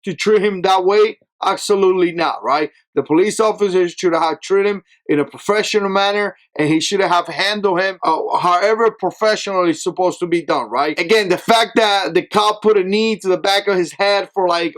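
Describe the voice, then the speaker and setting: A man with a fairly high voice and a non-native accent delivers a heated opinion piece to his audience. He hammers each point and keeps checking in with "right?". His tone is blunt and conversational rather than polished. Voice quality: speaks with an accent that is not standard American. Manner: emphatic, with frequent tag questions of "right?". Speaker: channel host. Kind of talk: opinion commentary to camera.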